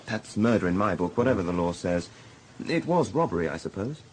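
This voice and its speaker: A man's voice: sits low, big pitch swings, brisk, a little mellow, a little hiss or hum, low-quality recording. A man acting a candid hospital doctor in a radio drama, British and conversationally matter-of-fact. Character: brisk, candid male hospital casualty doctor.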